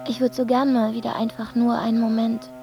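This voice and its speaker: A woman's voice: a little high, flat delivery, fairly quick, close to an everyday voice. A woman acting a lovesick young airport worker in a radio drama, intimate and pleading, with music underneath. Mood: longing.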